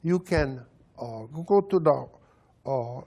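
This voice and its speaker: An older man from Hungary with a Hungarian accent, telling stories in halting, broken English and laughing often. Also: medium pitch, expressive, creaky, warm tone. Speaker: panelist. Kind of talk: casual storytelling.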